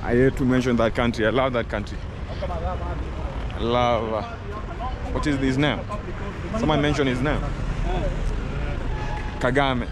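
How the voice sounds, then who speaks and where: A man's voice: mid-range voice, unhurried, pauses often, a loud crowd. A man in his forties with a Kenyan accent, chatting earnestly to viewers while walking through a city with a camera held at arm's length. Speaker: travel vlogger. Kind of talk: walking city tour vlog. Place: outdoors on city streets. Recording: outdoor street noise. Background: city traffic.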